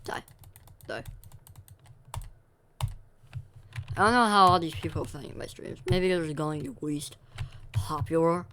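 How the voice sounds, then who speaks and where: A man's voice: a little high, measured pace, long pauses, bright, forceful tone, a little hiss or hum. Rambling young streamer guy. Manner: rambling, self-correcting, talks to chat between game reactions. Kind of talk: casual live-stream chatter while gaming.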